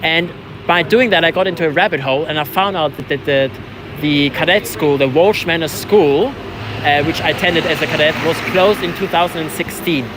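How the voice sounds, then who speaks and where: A man from Switzerland who learned English as a second language talks casually to camera and companions. His voice sits a little high, and his delivery is conversational, with frequent affirmations. Faint outdoor street noise sits behind him. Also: a little fast. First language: not English, learned English after moving to England. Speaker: vlogger, protester. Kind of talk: casual chat. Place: outdoors on a city street at a protest.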